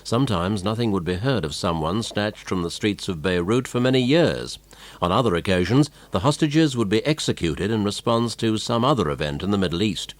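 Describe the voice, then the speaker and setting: Man, deepish voice, lively intonation, dry close sound, scripted news narration. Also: brisk, no room sound.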